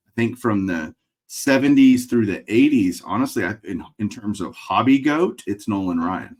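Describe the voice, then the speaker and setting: A middle-aged man from Texas with a Texas accent, a guest on a video call from a room of framed memorabilia, relaxed and dry-humored as he thinks out loud. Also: lowish voice, moderate pace. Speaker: guest collector. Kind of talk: casual interview over video call. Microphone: webcam video call.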